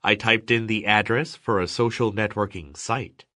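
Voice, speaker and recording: A man reading scripted narration for English learners with careful, measured enunciation. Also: lowish voice, steady tone, bright, forceful tone, slight background noise.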